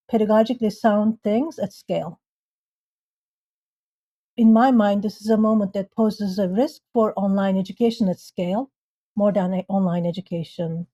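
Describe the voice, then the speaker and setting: A woman's voice: mid-range voice, warm tone. A woman from Turkey, a university leader and guest, answering thoughtfully with occasional restarts. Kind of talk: panel discussion answer.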